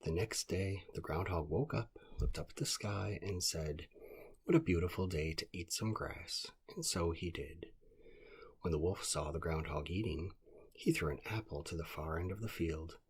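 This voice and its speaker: A man narrating a children's bedtime story in a calm, scripted read-aloud style. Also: sits low, moderate pace, breathy edge.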